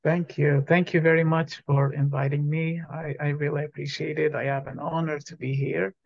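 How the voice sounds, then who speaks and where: A man from Iraq, a doctoral student, answering interview questions politely and steadily with soft fillers. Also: fairly high pitch, little pitch movement, moderate pace, creaky, no room sound. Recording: dry, muffled lo-fi mic.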